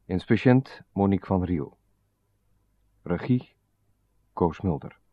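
A man's voice: low voice, animated, takes it slowly, no echo. A man reading formal scripted announcements as a radio announcer. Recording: slightly dull old radio recording.

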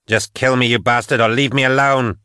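A man's voice: mid-range voice, lively intonation, normal speed, a bit bright, no room sound, clean studio sound, pitched above the performer's own voice, natural voice. A man voicing a frightened, defiant young woman who is being hunted, speaking in panicky, lashing-out bursts. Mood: desperate.

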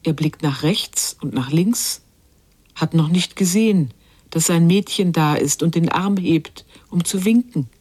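An actress playing a quiet narrator in a literary radio drama, measured and lyrical in her delivery. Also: fairly low pitch, breathy edge. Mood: quietly tense.

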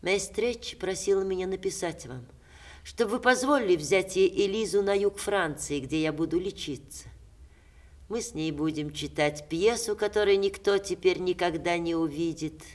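An actress in a 1960s Russian radio play, playing a witty, ailing stage star with expressive theatrical diction. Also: female voice, on the low side, normal speed, close to an everyday voice. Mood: plaintive, dramatic.

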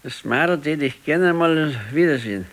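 A man's voice: on the high side, moderate pace, lightly acted. An actor playing a sickly, wistful grandfather in his sixties in a radio play, musing in short colloquial phrases with a Bavarian accent. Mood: weary, reflective. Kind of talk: naturalistic radio play dialogue.

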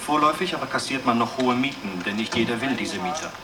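A man's voice: mid-range voice, lively intonation, fast, lightly acted. Sober actor as a male documentary narrator, distant. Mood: sober, factual. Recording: distant voice under loud music.

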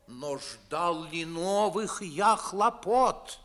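A man's voice: sits high, animated, very slow, a bit bright, exaggerated voice. An older man playing a pompous, grumbling widowed father and official in a 1950s theatrical radio play, scolding in declamatory verse. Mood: aggrieved lecturing.

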